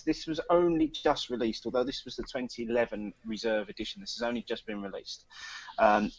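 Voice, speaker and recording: A man's voice: fairly low pitch, vocal fry, no echo, highs cut off. A man co-hosting a podcast, reading the news and chatting casually with many ums and restarts. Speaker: podcast co-host.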